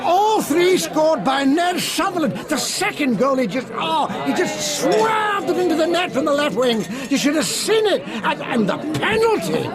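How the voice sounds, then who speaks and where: An actor playing a boisterous, gushing Scottish football fanatic in a radio drama. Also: male voice, very high voice, big pitch swings, moderate pace, hardly any pauses, over a noisy crowd. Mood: excited, boastful. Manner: exuberant, gushing, insistent. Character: excitable, boisterous male football fanatic, free with drinks. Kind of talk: broadcast radio drama acting.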